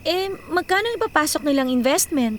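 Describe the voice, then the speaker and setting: High-voiced young Filipina beauty queen entrepreneur. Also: lively intonation, brisk, slight background noise. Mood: businesslike. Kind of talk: Filipino radio drama acting.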